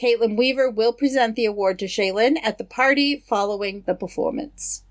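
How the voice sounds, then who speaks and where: A woman's voice: on the high side, moderate pace. Woman co-host reading sports news. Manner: steady, matter-of-fact news reading. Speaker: podcast co-host. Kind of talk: reading news items, casual chat.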